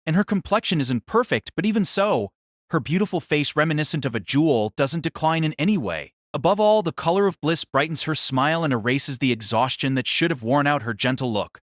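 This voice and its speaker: Higher-pitched man narrating with dramatic swings. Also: lively intonation, fairly bright tone, dry recording, studio quality. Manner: solemn, theatrical, measured phrasing. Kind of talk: dramatic scripted novel narration.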